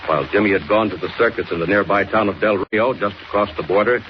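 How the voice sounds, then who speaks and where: A man's voice: lowish voice, fast talker, lightly acted. A man announcing a 1940s radio serial in a dramatic, declamatory style. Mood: dramatic, urgent. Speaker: announcer and narrator. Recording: muffled, noisy 1940s radio recording.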